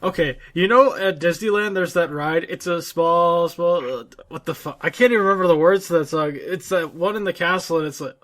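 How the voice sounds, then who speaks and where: A man with an American accent and a slightly high voice co-hosts a casual podcast. He banters informally, stumbling and doubling back over his words as he looks for the next one. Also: fairly quick, few pauses, fairly bright tone. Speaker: podcast co-host.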